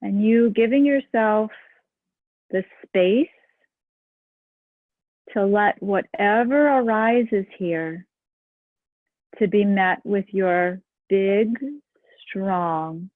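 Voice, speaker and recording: Woman meditation guide, unhurried and gentle, dry thin phone-like recording. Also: mid-range voice, no room sound. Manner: slow, soft and soothing.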